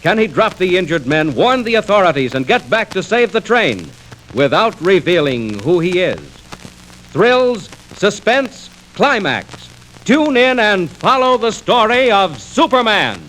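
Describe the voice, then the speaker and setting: A man's voice: sits high, big pitch swings, a little slow, fairly bright tone, low-quality recording. A man narrating a radio serial in an urgent, theatrical 1940s style. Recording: old radio record with hiss.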